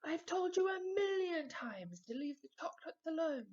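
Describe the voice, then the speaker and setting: An exasperated mother from a children's story, as a woman reader puts her on. She scolds in a high, raised voice. The recording sounds slightly dull. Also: big pitch swings, normal speed, a little mellow, slight background noise.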